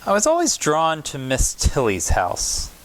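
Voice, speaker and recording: A man reading a children's picture book aloud as a pastor at storytime, in measured short phrases with pauses. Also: fairly high pitch, huge pitch swings, a bit bright, slight background noise, rough recording.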